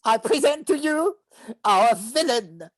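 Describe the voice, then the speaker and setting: A woman plays an excitable little cartoon beetle with big showman's enthusiasm. The voice is high and animated, taking its time, always eager to please. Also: takes it slowly. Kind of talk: animation table read.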